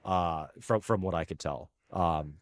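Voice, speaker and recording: Enthusiastic man, low voice. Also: measured pace. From United States. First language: Filipino. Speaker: tennis coach and channel host. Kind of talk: casual chat.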